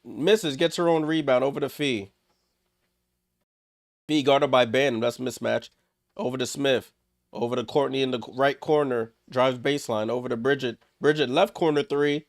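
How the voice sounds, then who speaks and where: Male stream host, gravelly and a bit under the weather, clipped live play-by-play with chatty asides to chat, dry room. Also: medium pitch, steady tone, moderate pace, dry recording. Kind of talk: casual live play-by-play commentary.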